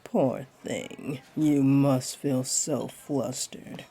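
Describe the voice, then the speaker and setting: A woman playing a flirty, teasing, laid-back jaguar beastling in a roleplay audio, with a deep, breathy, husky voice and a playful, soothing delivery. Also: drawn-out words, mellow, full character voice. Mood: teasing, reassuring. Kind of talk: roleplay audio acting, addressed to one listener.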